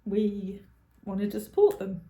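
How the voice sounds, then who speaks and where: A chatty woman co-hosts a podcast, laughing often and circling back mid-sentence. Her voice sits at a middle pitch with a mellow, warm tone, and the room adds a noticeable echo. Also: big pitch swings, slow. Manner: chatty, giggly, trails off and restarts. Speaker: podcast co-host. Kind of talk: casual chat. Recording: roomy echo.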